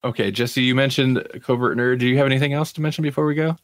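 A man's voice: medium pitch, fairly even intonation, quick pace, no echo, studio quality. A man hosting a podcast in casual, laid-back, informal chat. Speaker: podcast host.

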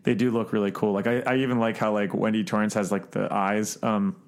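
A man co-hosting a podcast in casual chat, with a halting, rambling way of talking. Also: on the low side, flat delivery. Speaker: podcast co-host. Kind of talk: casual chat about books, films and collectibles.

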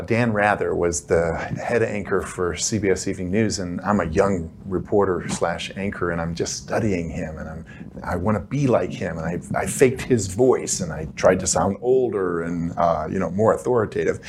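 A man's voice: low voice, moderate pace, noisy background. A middle-aged man hosting a talk show, chatting eagerly with a guest and using many fillers. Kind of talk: conversational interview.

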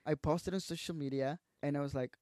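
This is a young man from Mexico who speaks English as a second language, with a clear Mexican Spanish accent. His voice sits fairly high for a man. He tells his story candidly and emotionally, swears freely, keeps saying "bro", and is recorded close and dry. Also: steady tone, brisk, mellow, no echo. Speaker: podcast guest. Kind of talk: casual storytelling interview.

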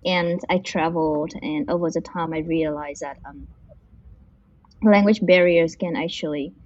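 A woman's voice: deep voice, fairly even intonation, measured pace, darkish tone, no room sound. A woman from Vietnam, an interview guest working in machine learning, answering casually and hesitantly, with many fillers and restarts. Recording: dull muffled lo-fi audio.

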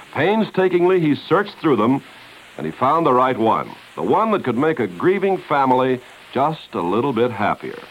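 A man's voice: mid-range voice, sing-song, normal speed. A man working as a radio announcer, giving polished, earnest 1950s scripted narration. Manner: polished, earnest, dramatic storytelling. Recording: dull, hissy 1950s radio broadcast.

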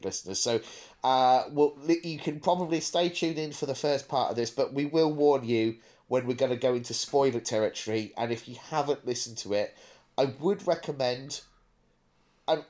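A man's voice: mid-range voice, darkish tone. A man co-hosting a podcast in casual chat, informal and rephrasing as he goes. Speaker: podcast co-host.

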